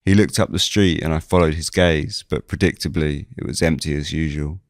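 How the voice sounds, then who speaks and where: A man reading scripted first-person audio drama narration in a measured storytelling manner. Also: extremely low pitch, steady tone, no room sound, studio quality. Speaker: narrator and lead of a fiction podcast.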